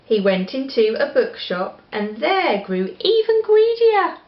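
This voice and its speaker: A woman with an English accent reading a children's story aloud in an expressive style. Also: high-pitched, slow. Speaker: storybook reader. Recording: echoey room, slightly dull sound.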